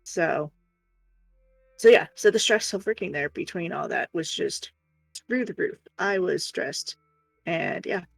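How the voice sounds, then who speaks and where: A woman's voice: on the low side, a little slow, creaky, slightly rough, no echo. A woman streamer chatting casually and telling stories in a frank, exasperated, sweary way. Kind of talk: casual storytelling chat. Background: faint music.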